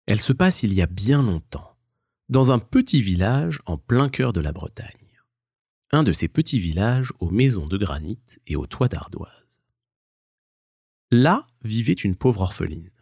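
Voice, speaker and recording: A man narrating a scripted children's story as an expressive storyteller. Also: on the low side, measured pace, creaky, breathy edge, dry recording, studio quality.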